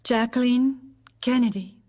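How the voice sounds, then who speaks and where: A woman's voice: a little high, darkish tone. A woman playing a widowed First Lady giving hesitant, composed testimony in an audio drama. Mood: subdued, hesitant. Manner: hesitant, halting, measured recollection.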